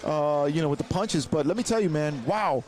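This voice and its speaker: A man's voice: fairly high pitch, fairly quick, slight background noise. A man commentating ringside at an amateur boxing event, hearty and calling people brother and man. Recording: loud music behind.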